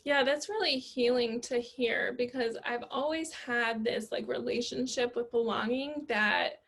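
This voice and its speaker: A woman's voice: fairly high pitch, measured pace, a bit bright. A young adult woman hosting a casual, reflective interview, tentatively searching for her words. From grew up in a Western country. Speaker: podcast host.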